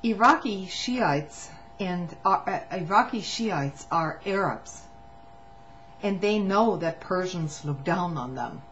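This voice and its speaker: A woman reading a written testimony aloud in a measured way, now and then stumbling and restarting a phrase. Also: fairly low pitch, expressive, a bit bright. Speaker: reader of a public document. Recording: muffled lo-fi recording with faint hum.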